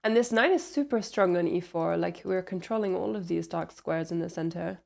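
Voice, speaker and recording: A woman's voice: on the low side, normal speed, gravelly, a little mellow. A woman commentating on a chess game, chatty and explaining moves with light laughs.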